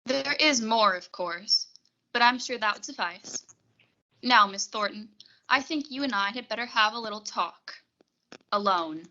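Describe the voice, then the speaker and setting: A woman's voice: fairly high pitch, lively intonation, pushed and bright, dull audio, exaggerated voice. A young woman acting a cool, formal, menacing blackmailer receptionist in a 1940s-style radio suspense play. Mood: polite, commanding. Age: young adult. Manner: formal, measured, polite menace. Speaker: high school theatre student actor.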